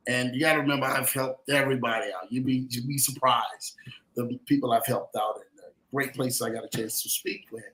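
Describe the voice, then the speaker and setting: A man's voice: medium pitch, fairly even intonation, brisk, a bit bright. A man from New Orleans giving motivational podcast talk as a credit coach, emphatic and preacherly, addressing listeners directly. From New Orleans, United States. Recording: roomy, echoing sound.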